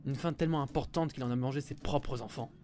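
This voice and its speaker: A man's voice: mid-range voice, fast talker, mellow, lightly acted. A man playing a knowledgeable, wry and hesitant mage in an amateur audio fiction. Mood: sheepish, explaining.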